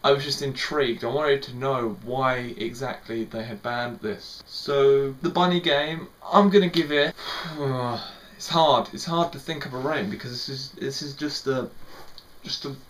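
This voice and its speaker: A man's voice: mid-range voice, measured pace, a bit bright, poor audio. A young man giving a casual spoken film review, rambling a little and repeating words, with lots of "sort of" and "like".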